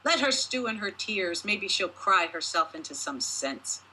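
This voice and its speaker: Fairy-tale giant husband, read by a woman, gruff and contemptuous, forceful husky delivery, curt and dismissive. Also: medium pitch, very animated, a little slow, full character voice, poor audio. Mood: scornful. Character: gruff, contemptuous balding male giant, muscular, big-bellied husband.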